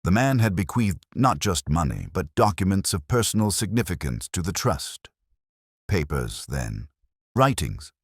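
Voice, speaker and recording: Guy doing a world weary older detective narrating his case, super deep voice, wry and brooding, dry close sound. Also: very animated, husky, dry recording, exaggerated voice. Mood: melancholy, reflective. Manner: measured, literary, wry and melancholy. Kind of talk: scripted first-person mystery audio drama narration.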